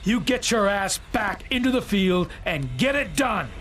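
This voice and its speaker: A man playing a ruthless, domineering military commander in a video game, with loud music behind him. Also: sits high, big pitch swings, unhurried. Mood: stern, impatient. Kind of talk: video game cutscene acting.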